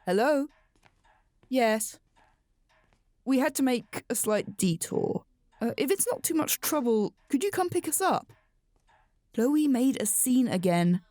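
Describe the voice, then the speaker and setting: A woman's voice: on the high side, animated, slow, long pauses, dark tone, no room sound, clean studio sound. An actress playing a weary, firm mother of a difficult child in a radio drama.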